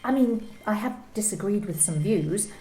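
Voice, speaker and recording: Hesitant young office worker voiced by an actress, mellow slightly high voice, roomy recording with faint hiss. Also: female voice, animated, natural voice. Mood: guarded. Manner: hesitant, defensive, halting. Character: guarded young female sales employee. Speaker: actor in an amateur radio play.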